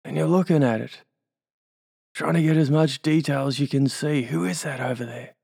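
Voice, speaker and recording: A man's voice: a little high, moderate pace, gravelly, darkish tone, breathy edge, no echo, clean studio sound. A man with an Australian accent leading a guided hypnosis induction, giving soothing suggestions and tag questions.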